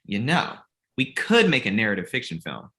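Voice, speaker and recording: A man's voice: on the low side, very animated, normal speed, sharp tone, heavily acted. A man playing a glib, self-deprecating young filmmaker in a comedy table read, casual and wry. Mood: persuasive.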